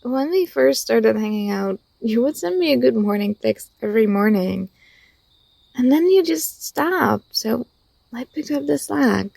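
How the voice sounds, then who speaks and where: A woman acts out a young female student, fond and gently teasing, in an intimate ASMR roleplay. Her voice is soft and fairly high, with plenty of fry at the edges. The sound is dry and close, and her delivery is tender and a little hesitant. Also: lively intonation, unhurried, no room sound, exaggerated voice. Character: affectionate, teasing young female university student confessing feelings.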